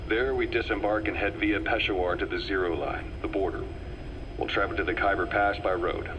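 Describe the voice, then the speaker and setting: An actor playing a worldly, composed military operative in a game, briefing a comrade in a measured, matter-of-fact way. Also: male voice, low voice, fairly even intonation, close to an everyday voice. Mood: brisk, instructive. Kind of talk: scripted game dialogue, expository briefing. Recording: faint background noise, cassette tape briefing.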